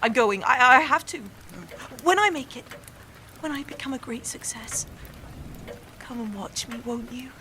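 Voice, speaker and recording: A young woman acting in an audio drama as a fragile, fervent, exhausted actress, halting and repeating herself. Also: high voice, expressive, noisy background. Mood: urgent, weary. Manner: halting, repetitive, self-correcting, intense.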